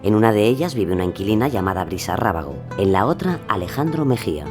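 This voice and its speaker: A male narrator with a fairly low voice and animated intonation delivers scripted documentary drama. Music runs behind his storytelling. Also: fast talker.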